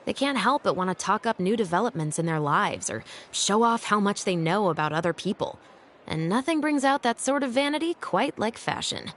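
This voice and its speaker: A woman playing a composed, dutiful female patrol captain in a video game, speaking in a measured, dryly wry way. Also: mid-range voice, lively intonation, a little hiss or hum, natural voice. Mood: explanatory, calm. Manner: measured, dry, articulate.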